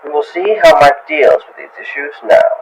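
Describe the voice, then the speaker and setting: A man's voice: fairly high pitch, big pitch swings, moderate pace. A man announcing an audio drama, reading a scripted recap as the show's announcer. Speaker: show announcer. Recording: clearly noisy background.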